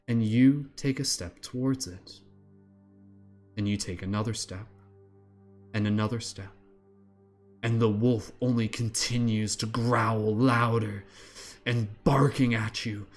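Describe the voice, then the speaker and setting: A man with a low voice guides a meditation at a very slow, unhurried pace. His phrasing is calm and repetitive, with long pauses between lines, and soft music plays faintly behind him.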